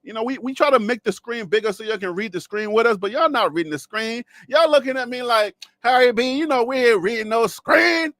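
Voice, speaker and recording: High-voiced joking man with a dry sound. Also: expressive, a little fast, few pauses, a bit bright, no room sound. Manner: rambling, joking, laughs often, talks to viewers. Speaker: sports show host. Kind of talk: casual solo sports talk with jokes.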